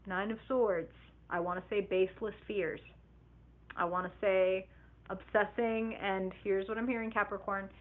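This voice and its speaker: Animated, chatty woman reading tarot live. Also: mid-range voice. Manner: animated, chatty, swears casually, acts out imagined inner voices. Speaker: tarot reader. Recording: tinny phone-like live stream audio.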